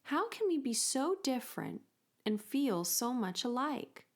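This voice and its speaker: A woman voicing an excitable, innocent and bewildered young bird with a chirpy manner, for a children's storybook. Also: high-pitched, unhurried, pitched above the performer's own voice, full character voice. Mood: puzzled. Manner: chirpy, exclaiming.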